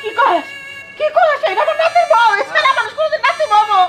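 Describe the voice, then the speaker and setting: A woman playing a loud, bossy, scatterbrained old grandmother in a comic short film, exclaiming and scolding in a rural Bangladeshi accent. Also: extremely high pitch, lively intonation, fast. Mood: outraged. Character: loud, quick-tempered old village grandmother, scatterbrained and domineering. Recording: loud music behind.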